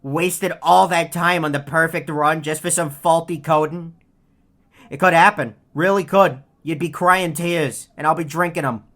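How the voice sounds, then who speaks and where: Low-voiced, snarky woman playing a cartoon bird heckler. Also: a little slow. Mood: exasperated teasing. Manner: deadpan, sarcastic, mocking. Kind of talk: scripted video game character taunts.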